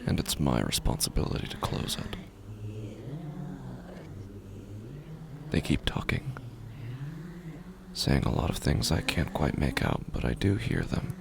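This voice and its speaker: A man playing a grieving, sleepless man in a horror audio drama, brooding and halting, in a gravelly, breathy near-whisper. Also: rumbling low, very animated, noisy background, exaggerated voice. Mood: hushed, haunted. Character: grieving, hollow, sleepless man wandering at night. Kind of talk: scripted first-person horror audio fiction narration.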